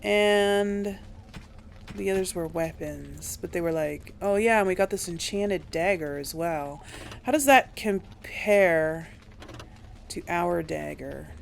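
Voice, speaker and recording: Woman doing a chill let's play, lowish creaky voice, slow pace, faint noise behind. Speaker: gaming video host. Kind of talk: casual let's play commentary.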